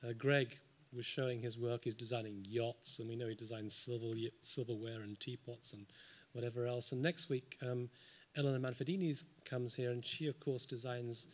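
A man speaks at a symposium panel table, thinking aloud with frequent ums and dry little asides. His voice sits at an ordinary middle pitch. He is picked up by a table microphone in a large lecture hall. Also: little pitch movement, moderate pace. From United Kingdom. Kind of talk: unscripted panel response.

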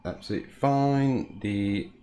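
Slow, calm man with a low voice. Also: a little hiss or hum. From United Kingdom. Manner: calm, unhurried, frequent "uh" fillers. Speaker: home cockpit builder. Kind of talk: casual hobby walkthrough.

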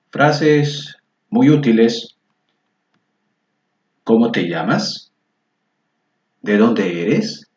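A man teaching a Spanish lesson over a screen recording, patiently spelling out each word form aloud. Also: lowish voice. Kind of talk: slow screen-share lesson walkthrough. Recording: roomy, dull lo-fi recording.

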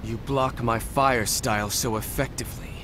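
An actor playing a bitter, vengeful young rogue ninja, brooding and intense, in an English anime game. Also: male voice, medium pitch, steady tone, normal speed, noisy background, lightly acted. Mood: cold, bitter. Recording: loud music behind the voice.

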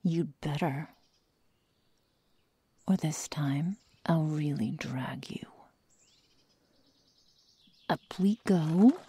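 A woman acts out a young female werewolf with a cruel, teasing calm. She speaks extremely slowly in a soft, dark, breathy voice that often sinks to a whisper. It is an intimate ASMR roleplay, very close and dry on the mic. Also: low-pitched, no echo, exaggerated voice. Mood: falsely tender. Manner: slow, soft, mock-tender menace. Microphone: close microphone, dry sound.

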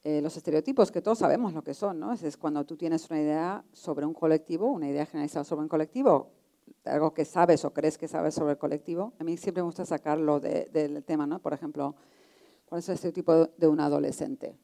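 A middle-aged woman moderates a discussion in a deep, mellow voice with plenty of fry. Her delivery is informal and conversational, restating ideas and illustrating them with examples. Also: fairly quick. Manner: informal, rephrasing and giving examples. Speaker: panel moderator. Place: indoor auditorium stage, seated on a panel.

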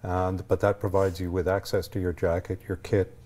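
Male, sixties, interview guest explaining his organization. Deep, relaxed tone. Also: moderate pace. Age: 60s. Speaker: ski patrol leader, interview guest. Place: TV studio, indoors. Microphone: lapel mic.

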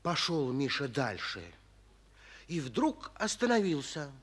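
Animated, slow-paced male storyteller. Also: fairly high pitch, slightly rough. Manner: theatrical, expressive. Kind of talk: scripted fairy-tale narration, 1940s radio acting. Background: music.

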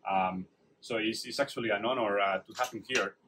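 A middle-aged man, a neurosurgeon leading a casual tour of an anatomy lab, praising the work in an enthusiastic, halting way with many fillers. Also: lowish voice, sharp tone, a little hiss or hum.

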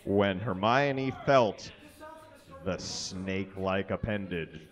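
A man's voice: sits low, slow pace. A man in his thirties reading a story aloud to an audience into a microphone on a small stage. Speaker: reader at a live comedy show. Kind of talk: dramatic reading of fan fiction to an audience. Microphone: handheld or stand microphone.

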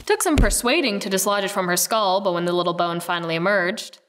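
Radio-play narrator, female actor: wry, measured storyteller, mid-range, noisy track. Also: mid-range voice, long reverb tail, lightly acted. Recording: noisy recording with clicks.

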